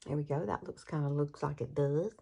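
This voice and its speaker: Southern lady in her 60s, slightly low voice, folksy makeup chat recorded on her phone at home. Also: brisk, vocal fry, dark tone. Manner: chatty, folksy, laughs often. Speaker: beauty vlogger. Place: bedroom at home. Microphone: phone camera, no external mic.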